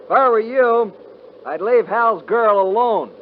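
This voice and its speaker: An actor playing a calm, watchful young ranch brother who speaks in few words, on an old radio broadcast. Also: male voice, high voice, a little slow, slight background noise. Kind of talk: 1950s radio drama acting. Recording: tinny 1950s radio broadcast.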